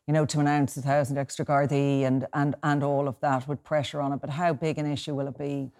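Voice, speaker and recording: A woman's voice: extremely low pitch, level tone, fairly quick, darkish tone. A woman hosting a radio panel and putting probing questions to her guests. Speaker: radio host.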